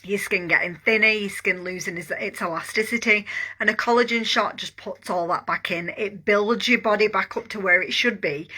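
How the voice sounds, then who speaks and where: Woman, 40s, very bright tone, congested with a cold, chatty and keen, selling to camera. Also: mid-range voice. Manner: chatty, enthusiastic, rambling with fillers. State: congested with a cold and sore throat. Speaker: online business coach. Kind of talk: casual vlog product pitch.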